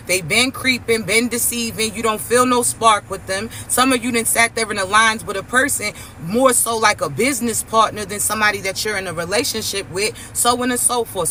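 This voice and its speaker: A man with a raspy voice giving a slow, emphatic spiritual message to his listeners. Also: high-pitched, bright, forceful tone, slight background noise. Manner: slow, emphatic, addresses listeners as chosen ones. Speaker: spiritual reader.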